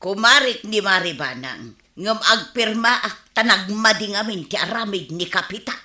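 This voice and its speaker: Echoey; curious, disapproving village woman, animated bright voice, Ilocano accent. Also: mid-range voice, moderate pace. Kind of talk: Ilocano radio drama acting. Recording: roomy echo.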